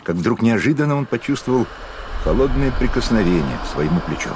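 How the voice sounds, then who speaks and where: A man narrating a gothic radio play in a measured, atmospheric way. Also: mid-range voice, very animated, noisy background.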